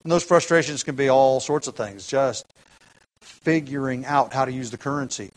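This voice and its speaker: Halting man preaching a sermon. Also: mid-range voice, low-quality recording. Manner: halting, many restarts and fillers, chuckles lightly. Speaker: pastor.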